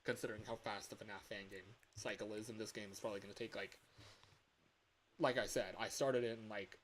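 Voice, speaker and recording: A man in his early twenties chatting casually on a livestream as a game developer, rambling in an excitable, self-deprecating, giggly way. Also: on the low side, fairly quick, low-quality recording. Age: early 20s. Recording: hissy home livestream audio.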